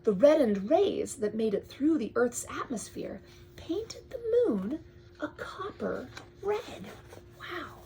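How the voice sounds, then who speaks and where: A woman reads a picture book aloud to a young child in drawn-out phrases, often dropping to a hushed tone and adding chatty asides. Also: a little high, very animated, a little hiss or hum, poor audio. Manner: addresses the child with questions and pointers. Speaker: storyteller reading to a young child.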